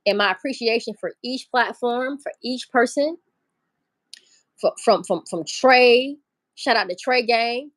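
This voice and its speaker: A woman's voice: a little high, no room sound. Chatty woman hosting a livestream. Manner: chatty, swears freely, greets chat. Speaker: livestream host. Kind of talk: casual livestream chat.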